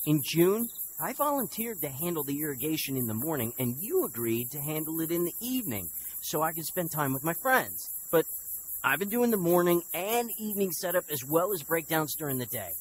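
A man's voice: lowish voice, expressive, normal speed, a little hiss or hum, lightly acted, rough recording. A man acting as narrator of a radio drama, playing someone earnestly recalling his bitter, lonely youth. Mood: frustrated. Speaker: narrator and lead actor. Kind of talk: scripted first-person radio drama narration.

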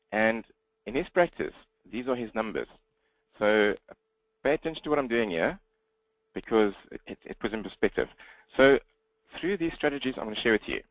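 Fast-talking South African man presenting. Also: on the low side, no room sound, poor audio. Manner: fast, slightly mumbled delivery with frequent restarts. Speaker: guest presenter, business coach. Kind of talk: webinar presentation.

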